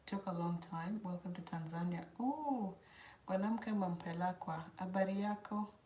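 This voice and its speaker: A woman with an East African accent hosts an informal livestream in a relaxed, slightly low voice. She talks conversationally, as if answering people in a chat. The room adds a clear echo around her words. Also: normal speed. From South Sudan, grew up in Kenya. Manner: informal, switches between English and Swahili. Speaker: host of a hair channel. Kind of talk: casual livestream chat answering viewer questions. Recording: roomy echo, faint background noise.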